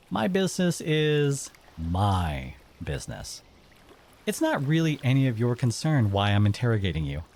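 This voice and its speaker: Guy doing a bitter exiled merman grilling a captive, slow n husky, stern, audio drama. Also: medium pitch, a little mellow. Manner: stern, accusing, dramatic pauses. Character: bitter exiled merfolk man interrogating a captive hunter.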